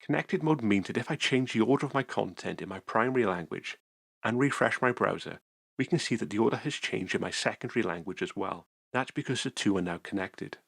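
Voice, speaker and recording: Man, low voice, gravelly, dry close recording, calm step-by-step tutorial narration. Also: brisk, dry recording. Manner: calm, methodical step-by-step explaining. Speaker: software tutorial presenter. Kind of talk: scripted screencast narration.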